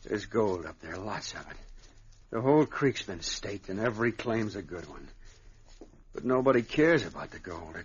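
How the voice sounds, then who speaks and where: Weary, low-voiced young prospector. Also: male voice, expressive, slightly rough. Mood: weary, earnest. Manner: weary, halting, hesitant speech. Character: exhausted young snowblind gold prospector, earnest and grateful. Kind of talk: old-time radio drama acting. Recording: muffled, noisy vintage radio recording.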